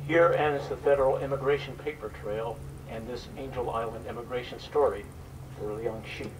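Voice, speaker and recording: A man's voice: mid-range voice, steady tone, normal speed. A man reading scripted narration for a staged documentary drama at a live event. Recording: distant mic, faint background noise.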